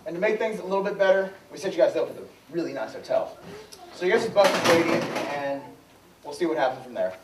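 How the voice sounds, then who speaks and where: A man plays a game show host on a church stage, his voice lively and swinging up and down. He sounds far from the mic in a roomy hall. Soft music sits underneath. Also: a little high, fast, very noisy. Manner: announcer-like, lively. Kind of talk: live stage skit hosting. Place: church hall stage before a children's audience.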